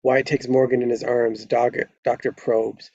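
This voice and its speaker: A man's voice: medium pitch, flat delivery, measured pace, warm tone, no echo, dull audio. A man narrating a screenplay at a live table read, stumbling over words now and then and correcting himself.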